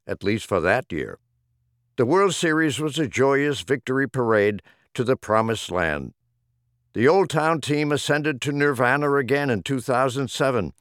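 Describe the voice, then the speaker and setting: Man, audiobook reader, lively swooping intonation, rhythmic theatrical verse recitation, dry close recording. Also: medium pitch, no room sound. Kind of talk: scripted reading of humorous rhyming poems.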